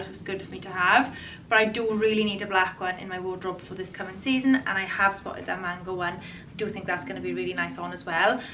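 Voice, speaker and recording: A woman in her twenties, a fashion vlogger chatting to camera in a casual, enthusiastic way, her voice a little hoarse from a cold. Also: mid-range voice, bright, forceful tone, far from the mic, slight background noise. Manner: chatty, enthusiastic, restarts and repeats words. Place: bright room indoors.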